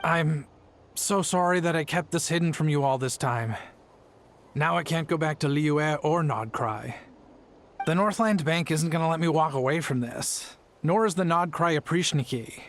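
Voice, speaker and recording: A man's voice: on the high side, moderate pace, a bit bright, slight background noise. A man playing a timid, guilt-ridden father in a video game, speaking hesitantly and apologetically. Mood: remorseful.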